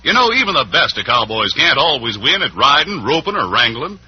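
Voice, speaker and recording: Lively old-time radio announcer man, muffled. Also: mid-range voice, a little fast. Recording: muffled, hissy vintage radio broadcast.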